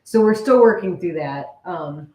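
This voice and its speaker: A woman's voice: lowish voice, lively intonation, measured pace, dark tone. A woman chatting casually and informally with viewers as co-host of a live stream. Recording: echoey room.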